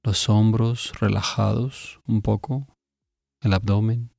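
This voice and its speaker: A man calmly and gently guiding a meditation, instructing with long pauses between phrases. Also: on the low side, creaky, no echo, studio quality. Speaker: meditation guide. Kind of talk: guided meditation.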